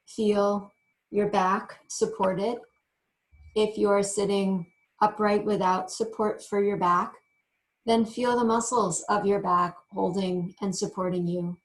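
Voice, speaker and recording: Woman, meditation teacher, mid-pitched, very unhurried, gentle guiding phrases, frequent pauses. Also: low-quality recording. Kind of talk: guided meditation.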